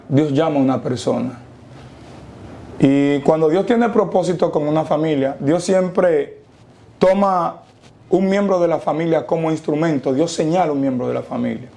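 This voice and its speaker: A young Dominican man who speaks with a preacher's cadence, slowing down to stress each idea. His voice sits slightly high for a man but sounds dark and mellow, with a gravelly fry at the ends of phrases. He quotes Bible verses at a deliberate pace. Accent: Dominican Spanish. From Dominican Republic. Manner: preacherly, quotes scripture, slow emphatic pauses. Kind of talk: testimony interview.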